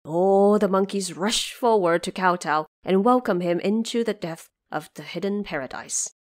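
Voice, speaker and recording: A woman's voice: mid-range voice, normal speed. A woman narrator telling an epic adventure story in a scripted, dramatic storytelling style.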